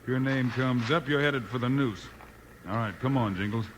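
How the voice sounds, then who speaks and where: Man playing cool, mid-pitched western lawman hero. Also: close to an everyday voice. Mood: firm, warning. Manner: measured, cool, plain-spoken. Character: calm, steady, firm western lawman hero. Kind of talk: 1950s radio western acting. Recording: noisy 1950s radio recording.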